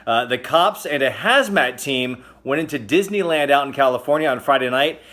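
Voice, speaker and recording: A man hosting a casual comedic news roundup, chatty and joking, with sudden loud exclamations. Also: mid-range voice, animated, normal speed. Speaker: host.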